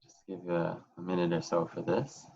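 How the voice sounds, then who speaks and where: Deep-voiced guy running a zoom panel, lots of ums, kinda muffled call audio. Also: normal speed, gravelly, slightly rough. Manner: relaxed, many "um"s and "uh"s. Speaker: panel moderator. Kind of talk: hosting an online panel. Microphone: video call.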